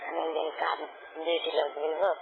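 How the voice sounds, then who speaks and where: A man's voice: a little high, flat delivery, a little fast, creaky. Man on a very noisy phone line. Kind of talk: intimate casual phone chat. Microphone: phone call. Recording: noisy, narrow phone call recording.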